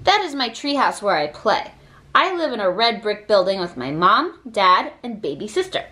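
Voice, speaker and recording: A woman reading a children's picture book aloud with expressive, deliberate phrasing. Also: medium pitch. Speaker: read-aloud reader.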